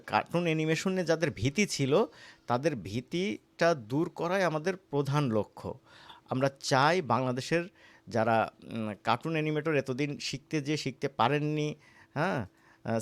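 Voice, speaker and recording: A man with a Bangladeshi accent giving a casual, conversational software tutorial as an instructor, often checking in with the listener. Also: medium pitch. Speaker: animation course instructor.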